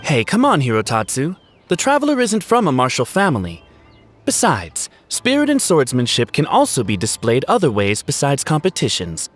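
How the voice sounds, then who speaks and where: A man's voice: medium pitch, huge pitch swings, normal speed, soft background music. An actor playing a friendly, polite, conciliatory young man in an anime game. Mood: placating. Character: friendly, apologetic, easygoing young male event organiser. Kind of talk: anime game character acting.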